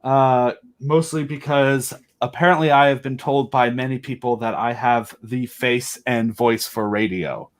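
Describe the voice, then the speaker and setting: A man's voice: mid-range voice, steady tone, a little slow, few pauses. A man from the southern United States chatting casually as a podcast guest, joking and telling personal stories.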